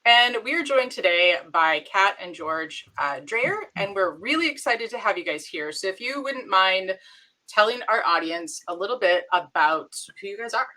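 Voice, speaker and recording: A woman's voice: medium pitch, animated, moderate pace, bright, forceful tone. A woman hosting a casual interview, asking friendly questions with fillers like "like" and "um". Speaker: interview host.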